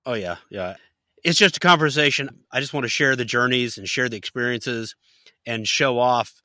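A man's voice: mid-range voice, normal speed, bright, forceful tone, no echo, clean studio sound. A man hosting a podcast interview, folksy and fond of telling jokes. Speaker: podcast host.

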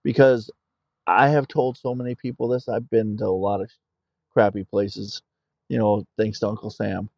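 A man's voice: on the low side, little pitch movement, moderate pace, gravelly, a little mellow, no room sound, lo-fi sound. A middle-aged man, an army veteran guest on a podcast, talking in a plainspoken way and telling stories. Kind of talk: conversational interview.

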